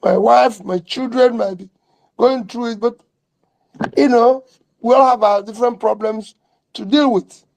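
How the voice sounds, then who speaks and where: High-voiced blunt man speaking plainly. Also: fairly even intonation, measured pace, gravelly, pauses often, low-quality recording. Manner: blunt, plain-spoken, short emphatic sentences.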